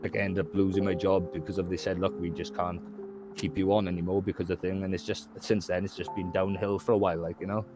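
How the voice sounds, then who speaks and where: A man from Wales with a Welsh accent and a very deep voice, talking as a guest in an easygoing chat. He speaks openly and rambles, leaning on "do you know what I mean" again and again. Music plays clearly in the background. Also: level tone, fast. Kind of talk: casual conversation.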